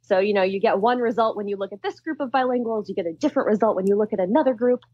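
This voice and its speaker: Woman scientist in her thirties, animated and chatty, dry and a bit lo-fi. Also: mid-range voice, racing, no echo. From Philadelphia, US. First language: Tamil. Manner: laughs often, chatty and animated. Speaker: guest scientist. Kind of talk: casual interview chat.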